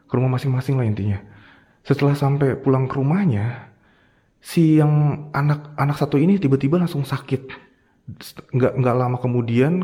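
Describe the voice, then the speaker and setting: A man from Jakarta with a Jakarta accent, casually telling a spooky local story as a ghost-hunting vlogger inside a hospital morgue at night, dropping to a hushed whisper at noises. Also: mid-range voice. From Jakarta, Indonesia.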